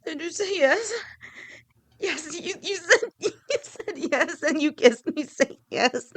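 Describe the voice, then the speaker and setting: A woman voicing a devoted young lamia woman with a snake tail, speaking softly to her partner in an audio roleplay, halting and sometimes stammering. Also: extremely high pitch, lively intonation, full character voice. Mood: overjoyed, tearful. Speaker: girlfriend in a listener roleplay.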